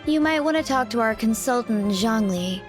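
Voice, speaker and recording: A woman's voice: fairly high pitch, expressive, normal speed, loud music behind the voice, lightly acted. A woman playing a polite, composed, matter-of-fact undertaker in a video game, speaking formally and courteously. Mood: polite, reserved. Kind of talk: video game character dialogue.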